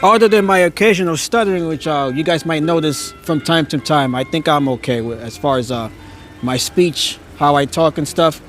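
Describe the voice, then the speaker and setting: A man's voice: fairly high pitch, moderate pace, fairly bright tone, soft background music. A man hosting a live stream as he walks a street outdoors, speaking with awe, chuckling often and stammering now and then. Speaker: live-stream host touring holiday lights. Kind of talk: casual live-stream narration. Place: outdoors on a neighborhood street at night. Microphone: phone on a handheld gimbal.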